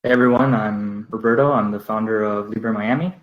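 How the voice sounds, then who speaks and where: Low man in an echoey room. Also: fairly even intonation, brisk, narrow, tinny audio. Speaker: local group founder. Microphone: video call. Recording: roomy echoey call audio.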